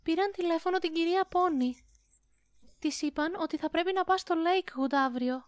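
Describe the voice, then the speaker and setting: A woman's voice: very high voice, no echo. A woman playing a caring but strict young nun at an orphanage in an amateur anime fan dub, dramatic, scolding yet tender. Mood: worried, gentle.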